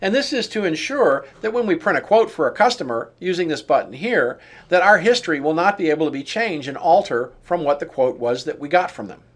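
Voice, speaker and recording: A man narrating an on-screen software demonstration, explaining each step in turn. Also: fairly high pitch, animated, a little fast. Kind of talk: walkthrough tutorial narration of on-screen steps.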